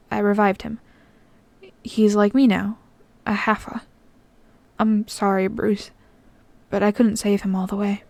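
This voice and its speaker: A woman voicing an earnest young half-ghost man who explains himself slowly and gently in a storybook reading. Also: medium pitch, gravelly, dry recording. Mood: grave, regretful.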